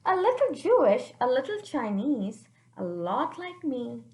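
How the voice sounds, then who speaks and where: A woman's voice: high voice, big pitch swings, measured pace, darkish tone, roomy sound, pitched above the performer's own voice, heavily acted. A woman voicing a spirited, teasing grandmother in a children's storybook, with raised, emphatic delivery. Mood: proud.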